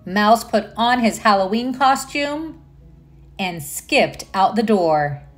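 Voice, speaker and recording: A woman's voice: medium pitch, lively intonation, slow pace, faint music behind. A woman reading aloud a children's story, expressively and addressing young listeners. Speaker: storybook reader. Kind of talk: children's read-aloud narration.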